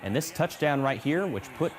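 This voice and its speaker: A man's voice: on the high side, expressive, normal speed, poor audio. A young American man anchoring a scripted sportscast with a punchy, polished broadcast delivery. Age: young adult.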